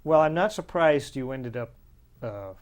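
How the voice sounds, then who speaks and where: A man's voice: mid-range voice, expressive, measured pace. A man interviewing a guest, asking questions and giving brief, encouraging responses. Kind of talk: casual interview.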